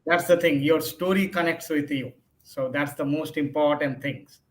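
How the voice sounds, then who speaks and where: A man's voice: on the high side, steady tone, moderate pace, a little hiss or hum. A man hosting an interview, agreeing readily and asking his questions in slightly hesitant phrasing. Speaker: interview host.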